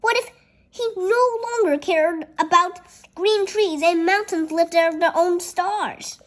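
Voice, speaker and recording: A storyteller reading a picture book aloud in a careful, halting way, with childlike, chatty asides about the pictures. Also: extremely high pitch, a bit bright.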